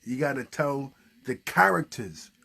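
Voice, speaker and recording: A man with a husky voice hosts a casual children's puppet show. He thinks aloud in halting phrases and keeps putting questions, with lively swings in pitch.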